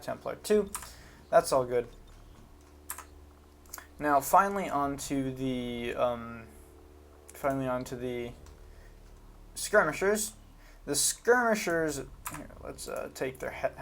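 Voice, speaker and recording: A man's voice: mid-range voice, slow, vocal fry, long pauses, fairly bright tone, a little hiss or hum. A man giving casual, unscripted commentary on a video game, talking informally and hesitantly as he thinks aloud. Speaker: gaming video host.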